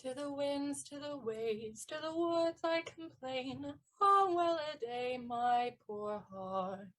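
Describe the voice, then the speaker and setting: A woman's voice: high-pitched, expressive, slow, a bit bright, exaggerated voice. Cherubino, a lovesick page boy. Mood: plaintive singing. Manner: plaintive, pleading. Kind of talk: stage audio play acting.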